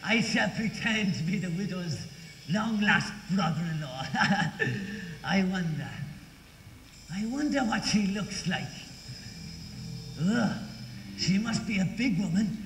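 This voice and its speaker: A man's voice: high voice, lively intonation, distant mic, background noise, exaggerated voice. A man playing a scheming, gloating sorcerer villain in a stage pantomime, theatrical and sly. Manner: theatrical, drawn-out, sly muttering to himself. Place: theatre stage, live performance. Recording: echoey theatre hall, music behind.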